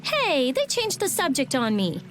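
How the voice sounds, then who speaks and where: High-voiced, prickly teenage girl mage. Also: huge pitch swings, measured pace, soft background music, heavily acted. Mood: sardonic, skeptical. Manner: blunt, sarcastic, know-it-all. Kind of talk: English video game voice acting.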